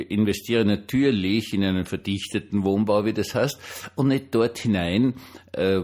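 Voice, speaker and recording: An older man with a Viennese Austrian accent, speaking as a pastor in an informal, hesitant but emphatic personal monologue. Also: on the low side. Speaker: pastor and online diary host.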